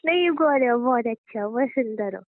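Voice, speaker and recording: A woman's voice: a little high, animated, brisk, no room sound. A young woman sweet-talking her partner on a phone call, coaxing and then turning indignant. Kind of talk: playful scripted phone banter.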